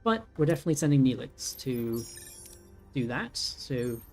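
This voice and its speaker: A man's voice: medium pitch, big pitch swings, moderate pace. A man in his thirties giving casual let's-play commentary, thinking aloud with many ums and self-corrections. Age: 30s. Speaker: gaming YouTuber. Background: faint game music.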